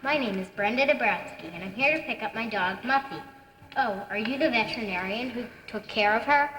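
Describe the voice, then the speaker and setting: Woman doing a bright, curious puppet pet owner. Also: a little high, brisk, close to an everyday voice. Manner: friendly, inquisitive. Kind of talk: live puppet show acting. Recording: echoey hall, faint noise.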